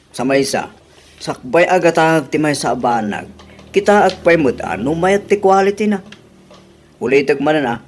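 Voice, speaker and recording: A man's voice: medium pitch, a little hiss or hum, lightly acted. A man with an Ilocano accent playing a thrifty husband who argues about prices. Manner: arguing, reasoning. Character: frugal husband. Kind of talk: radio drama acting.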